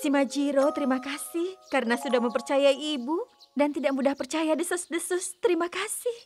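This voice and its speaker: A woman voicing a gentle, caring young deer who teaches kindergarten, speaking affectionately in a children's cartoon. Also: high voice, fairly quick, over loud music, natural voice. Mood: tender, grateful. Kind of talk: children's cartoon dub in Indonesian.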